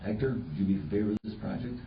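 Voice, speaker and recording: A man chairing a council meeting, briskly calling on members for their views. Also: fairly low pitch, creaky, dull audio, noisy background. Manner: brisk, moves discussion along. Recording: distant, echoey council chamber audio.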